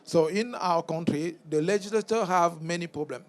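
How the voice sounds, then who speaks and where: A man from Cameroon, a French speaker with a Cameroonian French accent, giving halting remarks as a member of parliament on a conference panel, full of fillers and restarts. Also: on the high side.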